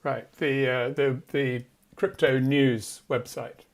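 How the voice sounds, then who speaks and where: Calm male interviewer asking questions. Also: medium pitch, takes it slowly. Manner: prompting, rephrasing questions.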